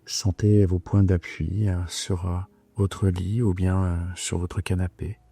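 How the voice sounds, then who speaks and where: A man with a very low, mellow voice guides a sleep meditation over gentle guitar music. He speaks soothingly and without hurry, leaving long, hesitant pauses. His tone is dark and a little breathy. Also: level tone. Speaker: yoga nidra guide. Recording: soft guitar music behind the voice. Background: gentle plucked guitar music.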